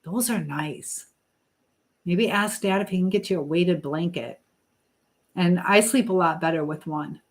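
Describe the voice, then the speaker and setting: A woman's voice: lowish voice, a little slow, pauses often. A middle-aged woman hosting a casual live chat, talking directly to viewers and giving motherly advice. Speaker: livestream host.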